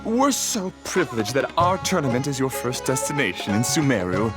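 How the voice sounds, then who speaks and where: A man voicing a fawning, overeager male tournament organizer who flatters and pushes in a video game. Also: mid-range voice, moderate pace, music in the background, close to an everyday voice.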